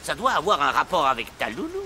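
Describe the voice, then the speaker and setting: High-voiced, animated cartoon conspirator. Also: male voice, fairly quick, a little hiss or hum, heavily acted. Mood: confident. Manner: flowery, theatrical phrasing. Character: animated, well-spoken conspirator exposing crooked schemes. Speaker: voice actor. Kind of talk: French animated series dialogue.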